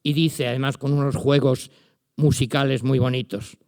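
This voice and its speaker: A man's voice: medium pitch, flat delivery, normal speed, darkish tone. An older man with a Spanish accent, a literature professor giving a lecture to an audience, speaking directly to his listeners and reciting verse with emphasis. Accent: Spanish from Spain. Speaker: literature professor presenting a book.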